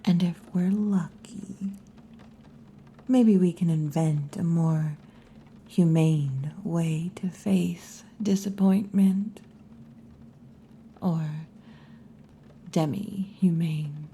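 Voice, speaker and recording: A wry, muscular tomboy wolf girl bartender, played by a woman in an ASMR roleplay. She teases in a low, mellow, breathy near-whisper and takes her time, with very long, slow phrasing. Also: steady tone, a little hiss or hum. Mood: gentle, wry. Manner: slow, unhurried, wry and teasing.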